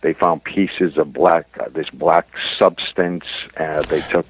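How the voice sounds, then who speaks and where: A man's voice: very deep voice, fairly even intonation, slow, slightly rough, no echo. A man being interviewed as a guest on a radio show, recounting his research in an insistent way, circling back over his points. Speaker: interview guest, author and investigator. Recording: muffled narrow phone-like line.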